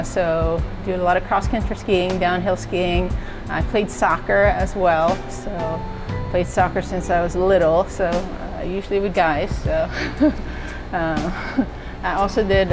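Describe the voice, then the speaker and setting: A middle-aged woman from Norway, a university fitness and wellness director, answering interview questions in a relaxed, conversational way with frequent fillers. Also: on the low side, poor audio. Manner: conversational, many "uh" and "so" fillers, laughs lightly. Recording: music playing underneath.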